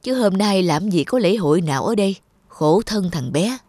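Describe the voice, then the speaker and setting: An actor voicing a sympathetic, kindly middle-aged man in a cartoon, gentle and concerned. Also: mid-range voice, animated, normal speed, no echo, clean studio sound, close to an everyday voice. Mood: pitying. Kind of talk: cartoon dubbing. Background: music.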